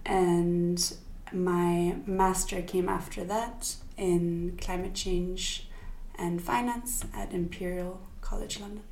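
A woman's voice: on the low side, steady tone, slow, gravelly, a little mellow, reverberant, a little hiss or hum, low-quality recording. A young woman from Sweden, a podcast guest, speaking thoughtfully with many fillers and restarts. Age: young adult. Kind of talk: casual interview.

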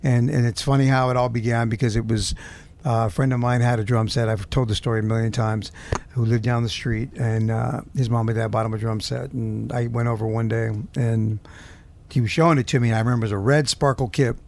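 A man from Southern California talks loosely in an interview, with a gravelly, creaky edge to his mid-pitched voice. He wanders and hunts for his words, speaking close to a handheld mic. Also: flat delivery, fairly quick, a little mellow, slight background noise. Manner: rambling, searching for words. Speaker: guest musician. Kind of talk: casual interview. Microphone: handheld mic held close.

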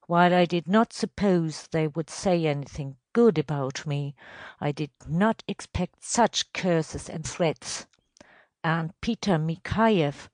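A woman voicing a domineering, vindictive male estate superintendent in a storybook reading, questioning loudly and emphatically. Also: fairly low pitch, dry recording. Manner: loud, emphatic interrogation.

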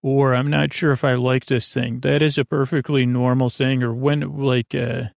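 Male host for a bedtime podcast. Creaky, fry-heavy voice, rambling and hesitant, lulling delivery, very dry recording. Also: mid-range voice, level tone, moderate pace, dry recording. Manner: meandering, hesitant, trailing off into tangents. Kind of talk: rambling sleep podcast intro, soothing monologue.

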